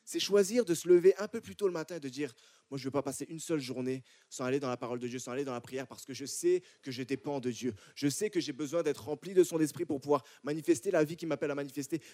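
A man's voice: on the high side, dark tone, no echo, studio quality. A man from France with a French accent from France, preaching a sermon to a congregation and often repeating and restarting his phrases. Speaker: church preacher.

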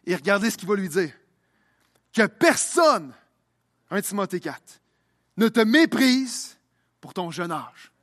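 A man's voice: high-pitched, very animated, slow pace, pauses often, a bit bright. A young man preaching a sermon as pastor, repeating phrases as his delivery builds in intensity.

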